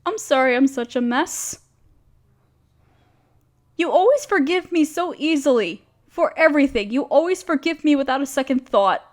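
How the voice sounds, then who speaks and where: A woman's voice: high-pitched, expressive, normal speed. A woman playing an overworked, apologetic young woman confiding hesitantly in a close friend in an intimate audio roleplay. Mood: sad, apologetic. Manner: self-deprecating, hesitant, casual. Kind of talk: roleplay audio drama, intimate one-sided conversation.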